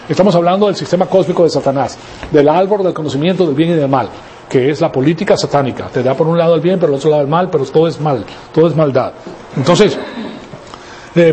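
Emphatic male preacher, mellow voice. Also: on the high side, slight background noise. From Venezuela. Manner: emphatic, rhetorical questions, frequent "¿ok?" tags. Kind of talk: sermon teaching.

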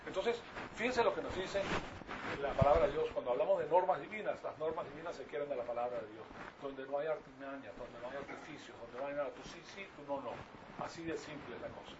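A man's voice: mid-range voice, quick pace. An older man from Venezuela with a Venezuelan Spanish accent, preaching an informal Bible lesson in a didactic, repetitive way with rhetorical questions to his listeners. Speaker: preacher teaching a congregation. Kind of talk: informal Bible-teaching sermon. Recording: distant mic, muffled, clearly noisy.

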